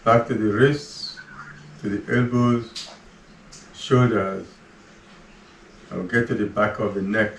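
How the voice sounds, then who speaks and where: A man leading a guided relaxation, giving slow, soft, calm cues with long pauses between them. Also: on the low side, vocal fry. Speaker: meditation guide. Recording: roomy echo, voice far from the mic, faint ambient hum.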